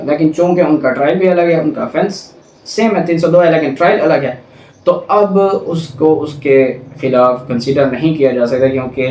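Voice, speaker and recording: A man's voice: a little high, a little mellow, a little hiss or hum. A Pakistani man giving an explanatory lecture in a didactic manner, mixing in English technical terms. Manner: didactic, alternating between Urdu explanation and English legal terms. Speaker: law lecturer. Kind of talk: explanatory lecture on legal articles. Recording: echoey room, distant microphone.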